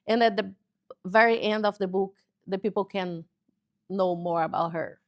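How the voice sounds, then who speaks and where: A woman in her forties from Brazil, whose first language is Portuguese, speaking English with a Brazilian accent in a TV interview and pausing often to find words. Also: medium pitch, dry recording, clean studio sound. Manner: halting, searching for words, cheerful. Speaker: guest author. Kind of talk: casual interview chat. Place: local TV studio.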